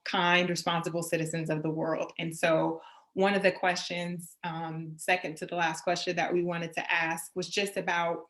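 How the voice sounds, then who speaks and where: A woman interviewing a guest as the host of an event, in a conversational style. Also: fairly low pitch.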